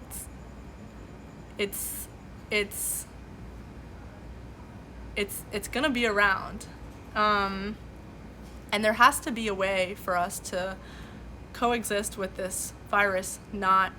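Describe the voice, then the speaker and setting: Woman streaming from home, farsi first language, bright voice, lots of ums and restarts, kinda earnest. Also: mid-range voice, slight background noise, low-quality recording. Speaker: livestream host. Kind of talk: casual political commentary, reading chat. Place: home room with boxes behind.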